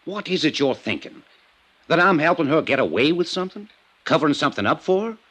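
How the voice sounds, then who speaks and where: An actor playing a defensive, stubborn county attorney in a 1950s radio drama, with an American accent and a combative delivery on a vintage recording. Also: male voice, a little high, sing-song, fairly quick, a bit bright, slightly rough, heavily acted. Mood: defensive, heated. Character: defensive, indignant male small-town county attorney.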